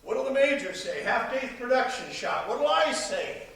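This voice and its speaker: A man on stage playing an older father, blustering and folksy, with emphatic, halting speech. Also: very high-pitched, very animated, vocal fry, a bit bright, heavily acted. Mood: pleading. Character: blustering, pleading, jovial older father, defensive businessman. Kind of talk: projected stage play acting. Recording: echoey stage hall, distant camera, noisy.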